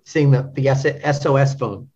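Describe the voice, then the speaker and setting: Man, middle-aged, mid-pitched voice, halting casual conversation, thinking aloud, repeated words, muffled lo-fi audio. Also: a little mellow, breathy edge. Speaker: podcast guest, literary translator. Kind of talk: casual interview chat. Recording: dull, lo-fi audio.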